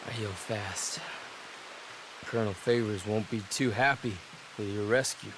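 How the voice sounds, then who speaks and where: An actor playing a proud, defiant young warrior, a chief's son, with a sardonic manner in a video game drama. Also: male voice, lowish voice, a little slow, background noise. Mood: grim, stoic.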